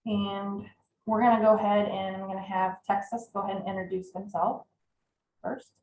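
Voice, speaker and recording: A woman in her forties hosting a live podcast chat, talking in a halting way with many filler ums. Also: mid-range voice, flat delivery, a little mellow. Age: 40s. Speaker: podcast host. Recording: echoey room with faint ambience.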